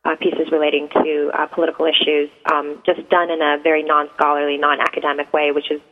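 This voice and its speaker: A woman's voice: deep voice, little pitch movement, brisk. Persian-native woman on a tinny phone line. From Iran. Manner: hesitant and reflective, many ums. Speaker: guest poet. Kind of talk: radio interview answers.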